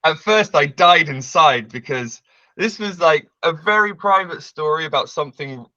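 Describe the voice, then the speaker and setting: A man, telling a story as a guest on a video call, loud and brash, laughing often. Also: a little high, expressive, moderate pace, lo-fi sound. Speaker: interview guest. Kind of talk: casual storytelling. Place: bright home room with large windows.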